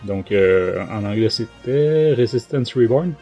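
A man's voice: on the low side, animated, measured pace, mellow, lo-fi sound, soft background music. A man with a Quebec French accent hosting a casual book review podcast, talking in a hesitant way. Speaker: podcast host.

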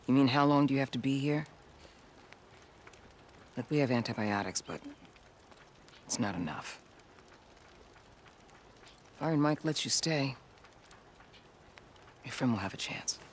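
A woman's voice: very deep voice, unhurried, long pauses, slight background noise. An actress playing a caring, earnest young woman who works as a survivor camp medic in a video game. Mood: serious.

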